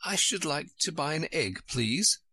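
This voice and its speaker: A polite little girl wonders aloud in a raised, timid voice, played by a man. She sounds curious and a bit uncertain as she speaks. The reading is close and dry, with bright tone. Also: a little high, lively intonation, a little slow, slightly rough, dry recording, voice pushed up, exaggerated voice. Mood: wondering.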